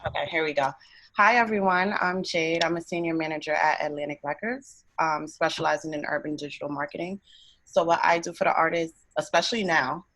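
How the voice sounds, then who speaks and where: A woman from Brooklyn, a panel guest describing her digital marketing work in a brisk, upbeat way. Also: low voice, fairly even intonation, a bit bright, dry recording, low-quality recording. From Brooklyn, New York. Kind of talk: online panel discussion.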